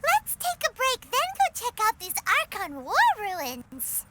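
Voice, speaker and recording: A woman voicing a tiny, excitable, cheeky fairy companion with a squeaky childlike voice in a video game. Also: slow pace, heavy background noise, heavily acted. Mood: curious. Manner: speaks of self in third person, exclamatory. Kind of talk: animated video game voice acting. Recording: music behind the voice.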